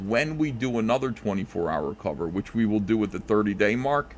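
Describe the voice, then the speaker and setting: A relaxed man with a fairly low voice answers callers on a live stream, talking things through with plenty of ums and uhs. A faint hiss sits under his voice. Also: a little fast. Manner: relaxed, thinks aloud with many fillers. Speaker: comic creator answering callers. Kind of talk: casual live call-in chat.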